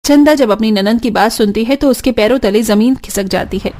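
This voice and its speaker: A woman's voice: on the high side, a little fast, warm tone. A woman narrating a scripted cartoon story as its storyteller.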